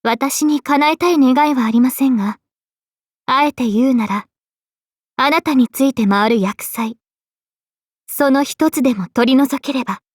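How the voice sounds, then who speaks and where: A woman voicing a gentle, dignified young female knight in a game, speaking politely and with composure. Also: sits high, measured pace, pauses often, slightly rough, no echo, studio quality. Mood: polite, composed. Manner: polite, composed, formal speech. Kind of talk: scripted game character voice lines.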